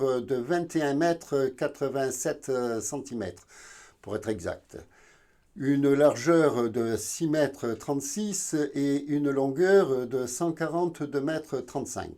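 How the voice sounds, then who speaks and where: A man from southern France hosting an educational video, explaining informally in a halting, didactic way. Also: mid-range voice, darkish tone. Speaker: video channel host.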